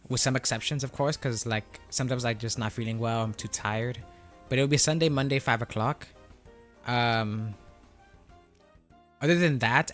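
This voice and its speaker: A young man from Florida hosts a relaxed live stream, answering viewers at an easy pace and restarting his sentences often. Soft music plays under his mid-pitched voice. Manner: laid-back and rambling, reads out chat questions, frequent laughs and self-corrections.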